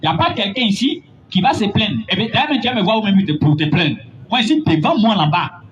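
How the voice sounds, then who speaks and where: A man preaching a sermon, building to emphatic, drawn-out points. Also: high voice, very animated. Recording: dull lo-fi recording with faint noise.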